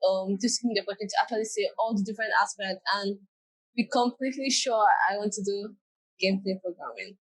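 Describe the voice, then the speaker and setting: A woman's voice: mid-range voice, steady tone, fast talker, breathy edge, low-quality recording. A young woman, her Yoruba, chatting easily as an interview guest. She talks in loose, friendly runs, often leaning on "like" as she goes. Her voice sits at an ordinary middle height. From Nigeria. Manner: chatty, many "like" fillers. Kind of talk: casual interview talk.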